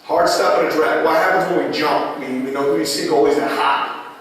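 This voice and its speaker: A man with a raspy voice giving a lacrosse goalie coaching clinic, repeating key phrases as he demonstrates. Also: a little high, steady tone, normal speed, a bit bright. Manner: repetitive, drilling phrases, demonstrative. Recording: echoey hall from far off.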